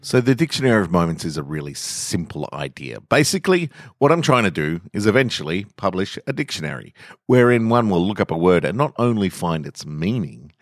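A man's voice: sits low, dry recording, clean studio sound. A man hosting a podcast, talking informally and reading short literary stories aloud as narrator.

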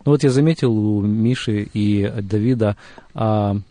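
Mellow-voiced man hosting a radio interview. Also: fairly low pitch, measured pace, no room sound. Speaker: radio host. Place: radio studio.

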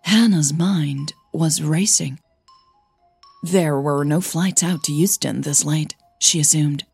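Female narrator for audio fiction. Low, husky voice, measured and dramatic delivery. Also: darkish tone, faint music behind.

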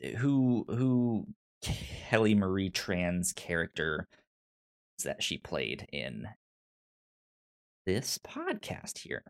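Halting, stammering male podcast host, unhurried. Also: deep voice, wildly swooping pitch. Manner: halting, stammering, repeats words. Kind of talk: casual review discussion.

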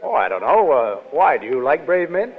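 An actor playing a brash, world-weary Foreign Legion private in a 1930s radio drama, casual, laconic and stubborn. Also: male voice, a little high, big pitch swings, normal speed. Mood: breezy, flirting. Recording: dull, noisy 1930s radio broadcast.

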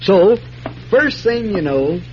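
An actor playing a folksy, boastful, excitable small-town newspaper printer in an old radio western. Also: male voice, high voice, slow, faint music behind, heavily acted. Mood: proud, eager. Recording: muffled old radio recording, faint hiss.

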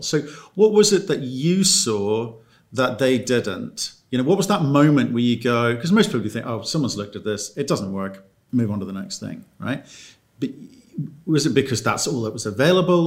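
Gravelly-voiced male interviewer. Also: mid-range voice, expressive, normal speed. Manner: self-deprecating, stammers when starting a question. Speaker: interviewer, host. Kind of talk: interview questions.

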